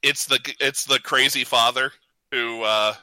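A man's voice: mid-range voice, fairly even intonation, unhurried, sharp tone, no room sound, rough recording. A man co-hosting a casual podcast, geeky in manner, reading out matchups and bantering with his co-hosts. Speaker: podcast co-host. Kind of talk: casual bantering panel chat.

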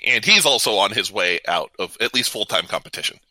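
A man co-hosting a casual radio talk show, reading out trivia with halting introductions. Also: fairly low pitch, animated, bright, forceful tone, dry recording.

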